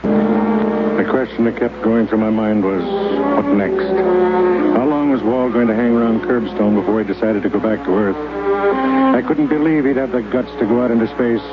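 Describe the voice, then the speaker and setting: An actor playing an earnest middle-aged man who narrates in measured tones, in 1950s radio drama style. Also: fairly low pitch, loud music behind the voice, lightly acted. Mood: reflective. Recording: dull old radio recording with score.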